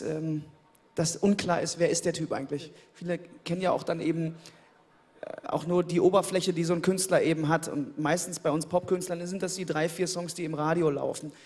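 Thoughtful man, slightly high voice. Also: darkish tone. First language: German. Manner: thoughtful, repeats words while searching for phrasing. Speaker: interviewed pop singer. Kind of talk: relaxed conversational interview. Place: indoor studio stage, seated in armchair. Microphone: handheld microphone.

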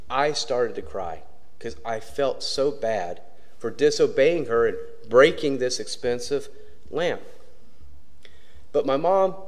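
Man, middle-aged, pastor, sermon, animated pitch, pulpit mic, church sanctuary. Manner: storytelling preacher, pauses often and varies pitch widely. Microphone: pulpit microphone. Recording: long church reverb.

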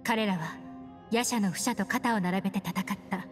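A woman playing a composed, serious adult investigator in a video game, speaking in a measured way. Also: mid-range voice, long reverb tail, lightly acted. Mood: composed, grave. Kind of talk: Japanese video game voice acting. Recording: music behind.

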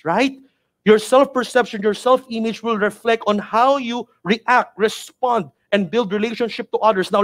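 A Filipino man in his thirties with a Filipino accent, a native Tagalog speaker, giving a motivational training talk in an emphatic, repetitive, urging manner. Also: high voice. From Philippines. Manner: emphatic, repetitive, preacher-like urging with rhetorical questions. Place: indoor studio with virtual backdrop. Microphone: headset mic.

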